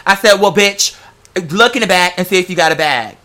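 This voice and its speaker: A man's voice: high-pitched, brisk, sharp tone. A man telling stories casually to the camera in a sassy, theatrical, slangy way with plenty of swearing. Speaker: vlogger.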